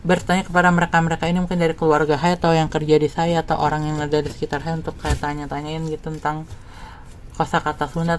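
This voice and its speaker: Man, from West Java, flat intonation, casual rambling monologue, informal content creator, faint background noise. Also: fairly high pitch. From West Java, Indonesia.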